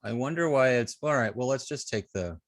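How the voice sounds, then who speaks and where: A man's voice: mid-range voice, animated, brisk, no room sound. Hesitant male instructor, close dry sound. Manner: hesitant, thinking aloud with trailing-off sentences. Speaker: web design instructor. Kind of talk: informal hands-on coding lesson, reviewing student work.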